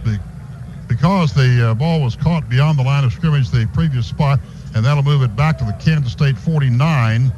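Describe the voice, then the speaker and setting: A man's voice: medium pitch, normal speed, muffled recording, noisy background. A man calling football play-by-play and reading sponsor spots on a radio broadcast from a stadium booth. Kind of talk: live sports commentary with sponsor reads.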